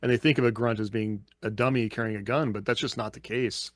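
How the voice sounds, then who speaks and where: A man's voice: medium pitch, fairly even intonation, quick pace, no room sound. A man on a podcast panel, giving his view in casual, conversational and emphatic talk. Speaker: podcast panelist with army background. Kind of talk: casual opinionated chat.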